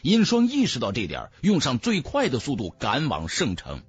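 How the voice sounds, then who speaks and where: Husky guy reading a fantasy audiobook, super expressive, music behind him. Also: medium pitch, moderate pace. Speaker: audiobook narrator. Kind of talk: dramatic scripted narration.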